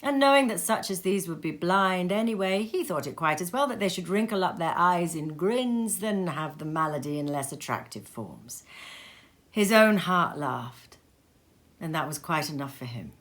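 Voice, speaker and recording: Sex: woman. Role: narrator. Style: expressive storybook reading. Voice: low and bright. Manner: lively and theatrical. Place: a cozy sitting room at home. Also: normal speed. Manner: lively and theatrical, with many voice changes. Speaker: narrator reading a classic tale.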